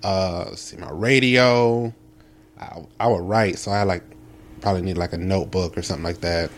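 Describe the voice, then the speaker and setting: A man in his early thirties chatting casually and comically, telling stories in an animated, self-deprecating way. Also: deep voice, normal speed. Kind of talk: casual comedic chat.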